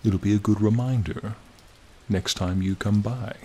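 A man voicing a charming, friendly ancient dragon in a soothing, formal, gently teasing ASMR roleplay. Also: on the low side, fairly even intonation, a little slow, a little hiss or hum, lightly acted. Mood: gracious, warm. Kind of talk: ASMR roleplay, slow intimate monologue. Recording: rain and cave ambience behind.

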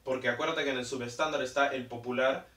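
A man's voice: mid-range voice, steady tone, fast, pushed and bright, recorded from a distance. A young man teaching a whiteboard lesson, explaining step by step, repeating key terms and often checking in with "ok?". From Peru. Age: young adult. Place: indoor room in front of a large whiteboard. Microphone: camera mic from a distance. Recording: roomy echo, voice from off-mic.

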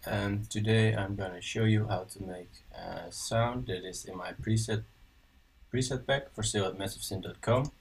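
Dutch-accented man, low voice, halting casual tutorial voice-over, set back from the mic. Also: fairly even intonation, creaky, breathy edge, recorded from a distance. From Netherlands. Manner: casual, halting, many ums and restarts. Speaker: synth tutorial presenter. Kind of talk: screen-recorded software tutorial. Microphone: voice-over on screen recording.

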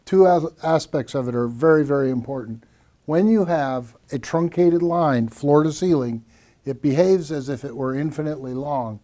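An older man co-presenting a technical explanation in a measured, didactic way, repeating key phrases. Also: on the high side, warm tone. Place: small acoustically treated home theater room.

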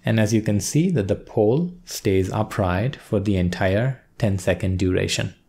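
A male instructor calmly explaining a coding task step by step in a screen-recorded lesson. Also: on the low side, normal speed, vocal fry, husky. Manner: steady explanatory pace, walks through steps. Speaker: course instructor.

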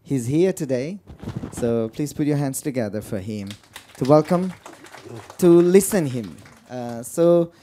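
Halting Nepali-accented man, very dark voice. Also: mid-range voice, lively intonation, slight background noise. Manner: halting, many fillers and restarts. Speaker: moderator. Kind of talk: introducing a speaker at a book talk. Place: lecture room.

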